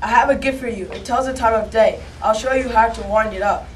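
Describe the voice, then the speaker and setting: Earnest frontier boy voiced by a woman, plain reading, higher voice, distant mic. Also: a little fast, close to an everyday voice. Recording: distant mic with faint noise.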